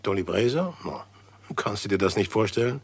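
An actor playing a calm, understated male detective in an old radio crime drama. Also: fairly low pitch, normal speed, breathy edge, no echo. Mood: soothing.

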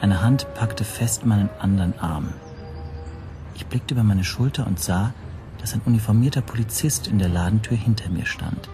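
An actor playing an anxious foreign language teacher who narrates his own story in a measured, introspective radio play style. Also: lowish voice, over music, close to an everyday voice. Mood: uneasy, measured. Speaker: first-person narrator.

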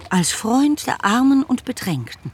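A man narrating a classic romance novel for a radio play in a measured, articulate storytelling manner. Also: very high-pitched, big pitch swings, a little hiss or hum, heavily acted. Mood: measured, observant.

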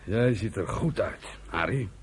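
An actor playing an embittered, fervent old uncle who leads an outlawed militia in a Dutch radio play, speaking in a rough, halting, emphatic way. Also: male voice, lowish voice, expressive, a little hiss or hum. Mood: fond. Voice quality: husky, rough.

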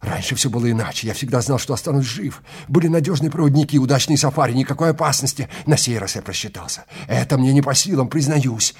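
A man's voice: medium pitch, fast, few pauses, breathy edge, voice pushed up. A man acts out a hunter on safari who has lost his nerve. He exclaims and pleads, stunned and faltering, in the manner of a science fiction audio play. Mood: frantic pleading. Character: panicked male hunter client, stunned and faltering.

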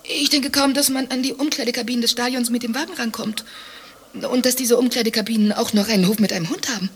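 An actress playing a haughty, sardonic wife accused of adultery in a satirical German radio play, mocking and theatrically indignant. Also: female voice, fairly high pitch, fairly even intonation, quick pace, slight background noise, lightly acted. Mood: wry, evasive.

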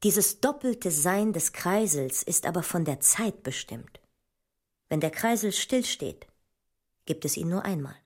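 A woman's voice: on the low side, animated, unhurried. An actress playing a pensive, questioning woman mathematician in a poetic, lyrical audio drama. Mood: questioning, wary. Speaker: performer in an experimental audio drama. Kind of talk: poetic theatrical dialogue with musical repetition. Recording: voice under loud music.

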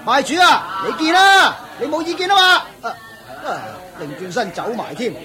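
An actor playing a brash, callous slave auctioneer in a radio play, pushy and mocking. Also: male voice, extremely high pitch, very animated, moderate pace, exaggerated voice. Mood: fawning. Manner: pushy, mocking, sells loudly. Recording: market crowd sound effects behind. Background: crowd murmur.

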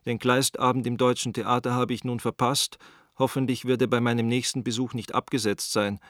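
A man's voice: medium pitch, flat delivery, fairly quick, fairly bright tone, no room sound, lightly acted. An actor as a rueful letter-writing man, dry sound. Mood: rueful, explanatory. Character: Walter, apologetic Viennese man, rueful, wry friend writing letters. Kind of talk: radio drama, letter read aloud.

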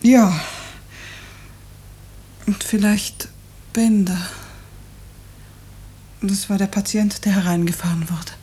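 An actress playing a troubled, evasive wife in a 1950s radio drama, speaking softly and hesitantly with a husky voice. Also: female voice, mid-range voice, steady tone, takes it slowly, long pauses. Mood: fearful, troubled. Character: troubled, evasive wife hiding her illness and fear.

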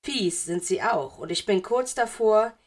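A woman's voice: mid-range voice, lively intonation, measured pace, a little mellow. A woman voicing a stern, sarcastic, impatient male wizard teacher in an audiobook, curt and sardonic. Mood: menacing. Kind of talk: audiobook character voice.

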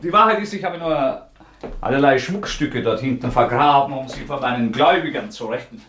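An actor in a German radio play playing a haunted, taunting fugitive murderer, halting and erratic in his delivery. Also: medium pitch, expressive, a bit bright, close to an everyday voice. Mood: agitated, taunting. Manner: erratic, halting, needling, swinging from muttering to agitation.

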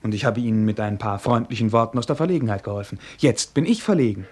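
An actor playing a shy, embarrassed young prince in a radio play, speaking formally and hesitantly. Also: male voice, fairly low pitch, fast, a little mellow, husky. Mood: flustered, formal. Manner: formal, hesitant, self-conscious. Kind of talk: German radio play acting.

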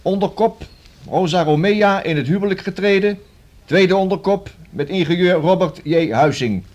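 A man's voice: high voice, normal speed, darkish tone, dull audio. A man playing a jovial, teasing police detective in a radio drama, with a mock-pompous way of talking. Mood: declaiming.

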